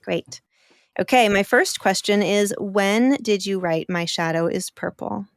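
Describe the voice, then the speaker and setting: A woman hosts a podcast for children, talking slowly and with plenty of emphasis to cheer young listeners on. Her voice is smooth and clear, and the recording is close and dry, with no room sound. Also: mid-range voice, no echo. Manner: warm encouraging, slow and emphatic. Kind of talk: enthusiastic talk to children.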